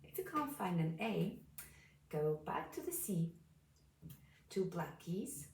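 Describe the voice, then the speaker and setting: A woman with a low voice giving a casual piano lesson, explaining calmly while playing notes, recorded from a distance in a room with a piano. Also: takes it slowly, vocal fry, reverberant. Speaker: piano teacher.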